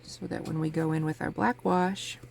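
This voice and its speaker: A woman with a low, heavily gravelly voice talks through a miniature craft project in a relaxed, chatty way. She is a bit sniffly from a cold, and a fan hums faintly behind her. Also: normal speed. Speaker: crafting channel host. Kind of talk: casual craft tutorial. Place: home craft table with a fan running. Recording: faint fan noise in background.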